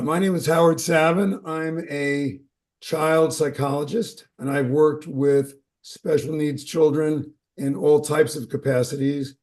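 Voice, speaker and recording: A middle-aged man speaks as a child psychologist on a webinar. His voice is mellow and warm, sitting a little high for a man. He talks in a measured way and pauses with fillers. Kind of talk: webinar presentation.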